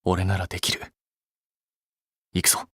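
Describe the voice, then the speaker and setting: A man's voice: low-pitched, monotone, a little slow, fairly bright tone, slightly rough, no echo, studio quality, exaggerated voice. A man playing an earnest, blunt young male idol in a Japanese mobile game, with a restrained way of talking. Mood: cool, steadying himself.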